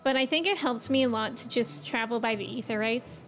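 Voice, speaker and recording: A woman with a Midwestern American accent chatting casually and excitably with viewers as she streams a game. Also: fairly high pitch, a little fast, soft background music. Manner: chatty, excitable, laughs easily. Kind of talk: casual livestream chat with viewers.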